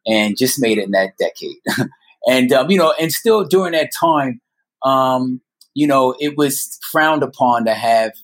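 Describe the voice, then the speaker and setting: A man in his fifties telling personal stories as a podcast guest, speaking earnestly and haltingly, repeating words for emphasis. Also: mid-range voice, lively intonation. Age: 50s. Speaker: guest storyteller. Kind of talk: personal storytelling in a conversational interview.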